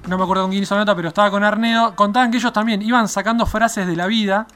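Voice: mid-range voice, steady tone, racing, a bit bright, soft background music. An interview host with an Argentine accent, chatting in a casual, playful way and putting questions to a guest. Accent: Argentine Spanish.

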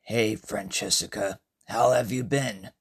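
A woman voicing a dry, easily annoyed female guide in a story, with a hesitant, deadpan mutter. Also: a bass voice, takes it slowly, breathy edge, voice pushed down, full character voice. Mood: awkward, hesitant.

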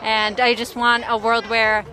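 A woman's voice: fairly high pitch, completely flat delivery, unhurried, pushed and bright, rough recording. A woman being interviewed outdoors at a protest, speaking thoughtfully and hesitantly with many fillers. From immigrant recently moved to Canada. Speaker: interviewee at a climate strike. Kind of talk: street interview. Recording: outdoor crowd noise.

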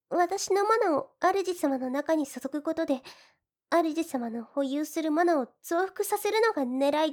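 A woman voicing a gentle, devoted slime woman in an ASMR fantasy audio drama, speaking politely and a little shyly. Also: very high voice. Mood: shy, flustered. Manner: soft, polite, deferential, shyly hesitant. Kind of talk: Japanese ASMR situation voice drama.

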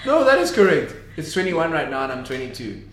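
A man in his early twenties co-hosting a casual podcast chat, teasing and laid-back, thinking out loud. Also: fairly high pitch, sing-song, a little fast. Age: early 20s. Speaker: podcast co-host. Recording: echoey room, faint noise.

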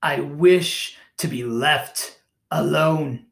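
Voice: mid-range voice, very slow, creaky, roomy sound. A reader voicing a bitter, miserly old man who answers with curt scorn, read from a storybook. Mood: scornful.

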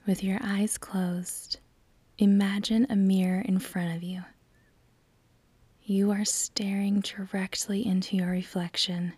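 A woman with a soft, breathy, near-whispered voice leads a guided meditation, calm and soothing, with long measured pauses. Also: mid-range voice, dry recording.

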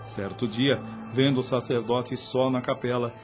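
A man's voice: mid-range voice, steady tone, a little slow. A man with a Brazilian accent reading scripted radio drama narration in a solemn, literary manner. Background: music.

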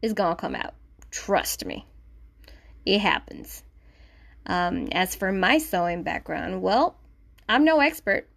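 A woman's voice: mid-range voice, big pitch swings, slow, creaky, pauses often, fairly bright tone. A woman in her thirties hosts a solo podcast, speaking English with a light Puerto Rican accent, her first language being Spanish. She chats casually and pokes fun at herself, often turning to the listener with little asides. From Puerto Rico. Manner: chatty and self-deprecating, with asides to the listener. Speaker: podcast host.

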